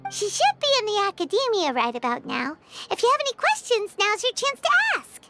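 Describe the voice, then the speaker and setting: A woman voicing a tiny, childlike fairy companion in a video game, with a squeaky, chirpy, cheeky delivery. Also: wildly swooping pitch, brisk, heavily acted. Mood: chirpy, eager. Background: background music.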